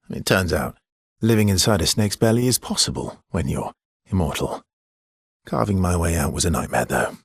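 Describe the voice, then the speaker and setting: An actor playing a wry, loyal, world-weary swordsman in a video game, with a husky, breathy voice and a dry, teasing manner. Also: deep voice, dry recording, full character voice.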